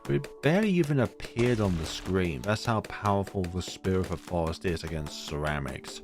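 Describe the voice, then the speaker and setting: Casual deep-voiced dude rambling over his gameplay, lots of likes and ums, music going in the back. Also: lively intonation, normal speed. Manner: rambling, chatty, many fillers. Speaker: gaming YouTuber. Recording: music playing behind voice.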